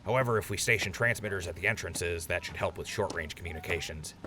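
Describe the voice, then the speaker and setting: An actor playing an easygoing male officer in an audio drama, talking in a relaxed, folksy way. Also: low voice, quick pace, noisy background, close to an everyday voice.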